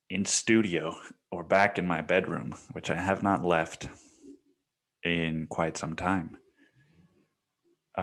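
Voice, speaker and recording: A man's voice: low-pitched, fairly bright tone. A man hosting a solo podcast from his bedroom, talking in a casual, rambling, profane and slangy way. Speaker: podcast host. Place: bedroom used as home studio.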